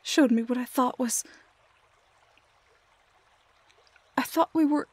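A woman playing a heartbroken young woman lost in a fairy-tale forest, in an emotional audio roleplay, halting and often dropping to a whisper. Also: high-pitched, darkish tone, no echo. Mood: bitter, anguished.

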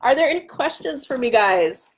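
A woman's voice: sits high, animated, moderate pace, slight background noise. A woman in her thirties chatting casually as she hosts a live question session, wandering off on tangents, recorded at home on a webcam. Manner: chatty, self-interrupting tangents. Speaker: author hosting a live chat. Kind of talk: casual chat, answering viewer questions. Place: home dining room. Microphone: webcam at arm's length.

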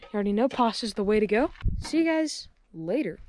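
A teenage boy vlogging casually on a mountaintop, excitable and full of slang, filmed on a handheld action camera. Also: high-pitched, a little fast. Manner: excitable, slangy, exclaims often. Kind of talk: casual outdoor vlog. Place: outdoors on a windy mountaintop. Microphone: action camera held at arm's length. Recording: noisy outdoor camera audio.

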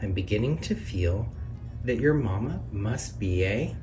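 A man reads a children's picture book aloud, slow and unhurried, his voice mellow and soft. His pitch swings widely in a sing-song way, rising on each question and reveal. He sits a little back from the mic, and faint music plays behind him. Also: animated, distant mic. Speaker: read-aloud narrator. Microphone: camera over the book, hands on pages.

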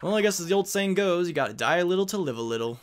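A man's voice: high-pitched, lively intonation, racing. A man giving casual, playful let's play commentary in a chatty manner. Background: game music.

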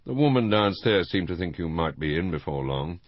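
A man as a polite, measured police detective. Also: deep voice, very animated, no room sound, muffled recording. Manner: courteous, measured. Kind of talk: audiobook character voice.

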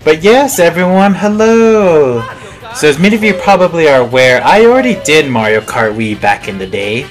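A man giving casual, excitable gaming commentary in a rambling, gushing way as a video host. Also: a little high, normal speed. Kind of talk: casual let's play commentary. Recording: game music under the voice. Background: game intro music.

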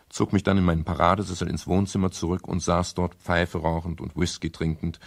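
An actor playing a dry, laconic private detective who narrates his own case in a measured, wry way in a seventies radio crime play. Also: low-pitched, vocal fry, slightly rough, full character voice. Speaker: actor and first-person narrator in a radio drama. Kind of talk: 1970s German radio crime drama acting. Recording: noisy old radio recording with clicks.

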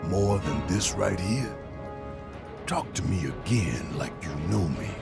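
An actor playing a gruff, hot-tempered, righteous rebel fighter in a video game, with a gravelly voice and emphatic, colloquial, menacing delivery. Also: male voice, sits low, lively intonation, slow, loud music behind the voice, heavily acted. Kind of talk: dramatic video game cutscene acting.

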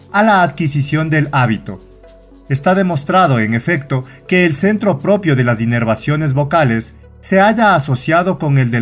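A man reading a short story aloud as narrator, in measured, even scripted narration. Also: fairly high pitch.

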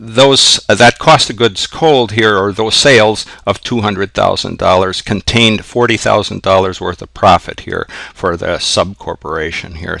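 A man's voice: low-pitched, gravelly, sharp tone, no echo. A man narrating an informal tutorial as an accounting instructor, explaining worked examples step by step. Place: close indoor voice-over for a screen recording.

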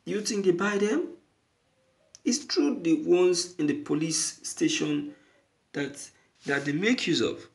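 Slow, gravelly man giving emphatic commentary. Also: on the high side. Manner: emphatic, repeating phrases for stress. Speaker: online news commentator. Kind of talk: opinionated spoken news commentary.

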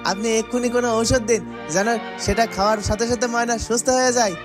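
A man voicing a simple-hearted, lovesick young villager in a cartoon, pleading and excitable. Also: very high-pitched, a little fast, over music. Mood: frantic, pleading.

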